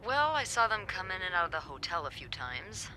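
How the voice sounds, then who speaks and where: A dry, teasing hotel coworker. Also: female voice, lowish voice, big pitch swings, brisk, sharp tone, slight background noise, rough recording. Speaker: hotel staff.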